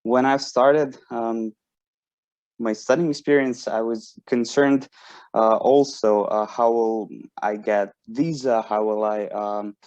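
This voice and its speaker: A man's voice: medium pitch, fairly even intonation, takes it slowly, darkish tone, no echo. A man in his twenties from Bosnia, a university student speaking informally to prospective students, hesitant, with many fillers and restarts. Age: 20s. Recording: dry, dull lo-fi sound.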